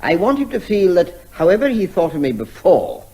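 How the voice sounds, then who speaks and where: An English actor playing a cheeky, unflappable out-of-work performer posing as a neighbour in a comic radio drama, jaunty and wryly genteel. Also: male voice, high-pitched, huge pitch swings, a little fast, warm tone, husky, full character voice, rough recording. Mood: mock-genteel.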